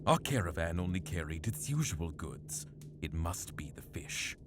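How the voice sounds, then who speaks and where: A man's voice: sits low, very animated, moderate pace, over music. A man playing a devout, diplomatic feathered reptilian warrior with a formal manner in an audio drama. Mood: calming.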